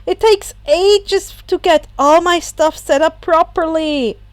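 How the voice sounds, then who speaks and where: A woman's voice: very high-pitched, slow, no echo, pitched above the performer's own voice. A woman voicing an earnest, easily flustered teenage boy with a hesitant, protesting manner. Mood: defensive protest.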